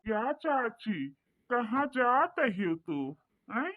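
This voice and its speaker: A woman voicing a young village woman with failing eyesight in an Awadhi cartoon, with an Awadhi accent and plaintive, drawn-out speech. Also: fairly high pitch, sing-song, exaggerated voice. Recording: tinny, phone-like line.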